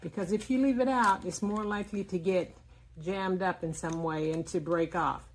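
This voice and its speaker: Woman casually demonstrating a product, often pausing. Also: lowish voice, slight background noise, poor audio. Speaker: product reviewer.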